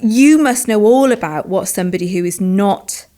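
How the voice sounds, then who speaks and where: A woman co-hosting a teaching podcast, putting measured questions to her guest. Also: medium pitch, dark tone. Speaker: podcast co-host, education lecturer.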